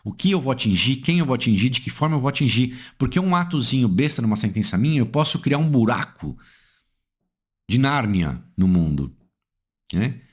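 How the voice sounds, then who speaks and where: Brazilian male legal analyst, animated intonation, rhetorical questions, emphatic lecturing delivery. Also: normal speed, slightly rough. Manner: asks rhetorical questions and answers them; emphatic, swings pitch up and down for stress.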